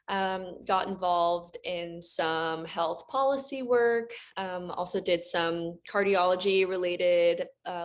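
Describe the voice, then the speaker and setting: A young woman from Virginia introducing herself casually as a student panelist on a video call. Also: lowish voice. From Virginia, United States. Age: young adult.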